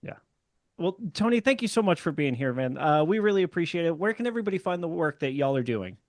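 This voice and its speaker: Man, podcast host, relaxed, chatty, dry room. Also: on the high side, quick pace, dry recording. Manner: relaxed, chatty, many fillers like "like". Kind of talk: casual podcast chat.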